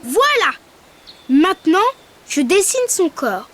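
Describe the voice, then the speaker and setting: A woman voicing a cheerful young male cartoon bird who patiently guides children through drawing, over loud music. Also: very high voice, sing-song, takes it slowly, heavily acted. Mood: upbeat. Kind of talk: preschool cartoon voice acting. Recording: loud background music track.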